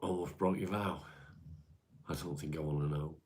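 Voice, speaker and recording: A man's voice: a bass voice, steady tone, moderate pace, a little mellow. A man with a stuffy, congested voice, chatting casually on a livestream and answering viewers' comments, calling them mate. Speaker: livestream host reading chat.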